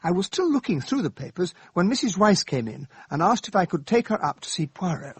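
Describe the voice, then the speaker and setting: An actor playing a loyal, earnest English gentleman sidekick who also narrates a radio drama in a polite, plain-spoken way. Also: high-pitched, very animated, fairly quick, breathy edge, dry recording, exaggerated voice. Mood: measured narration.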